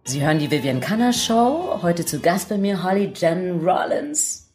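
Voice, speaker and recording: Female radio host, low register, chatty conversational interviewing. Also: expressive, measured pace, darkish tone, soft background music. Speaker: radio talk show host.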